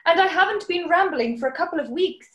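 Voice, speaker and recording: A woman's voice: very high voice, expressive, fast talker, dull audio. A woman playing an eccentric, chatty member of the public in a comic radio interview. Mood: prim, chatty. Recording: roomy echo.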